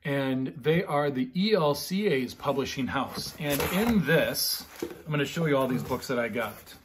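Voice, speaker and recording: A man's voice: mid-range voice, animated, unhurried, noisy background. A middle-aged American man, a pastor chatting casually and reading children's bedtime stories expressively. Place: living room at home, indoors.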